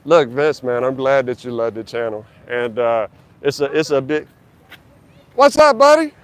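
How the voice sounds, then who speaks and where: A man's voice: medium pitch, expressive, normal speed, smooth. A man live-streaming on a city street, talking loosely with lots of fillers and restarts into a handheld mic. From United States. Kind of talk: casual live-stream chat.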